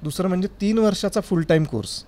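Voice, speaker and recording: A man's voice: high-pitched, fast, mellow. A man, an interview guest explaining things step by step in casual conversation. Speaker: interview guest, sound designer.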